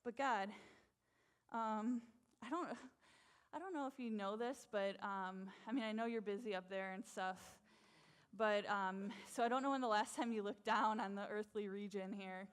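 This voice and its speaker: A woman's voice: medium pitch, moderate pace, clear, resonant tone, close to an everyday voice. A woman playing a doubtful, insecure male prophet who stammers and hedges with constant fillers and restarts. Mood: incredulous.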